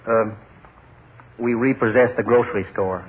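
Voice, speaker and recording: An actor playing a deadpan, polite former finance-company man now delivering groceries, in a 1940s radio comedy. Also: on the low side, a little slow, natural voice. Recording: hissy 1940s radio broadcast, lo-fi.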